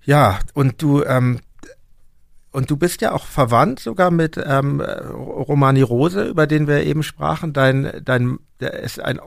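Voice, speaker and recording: Man, interview host, hesitant and searching, gravelly voice, dry sound. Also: fairly high pitch, measured pace, no room sound. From Germany. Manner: hesitant, searching for words, many fillers. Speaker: podcast host. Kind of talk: interview conversation.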